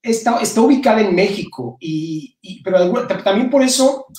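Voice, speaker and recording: A middle-aged man from Mexico with a Mexican accent touched by Spain, talking casually and haltingly as an interview guest. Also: high-pitched, animated, darkish tone. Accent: Mexican Spanish with some Spain influence. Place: indoor room at home, on a video call. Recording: roomy, distant-mic video call.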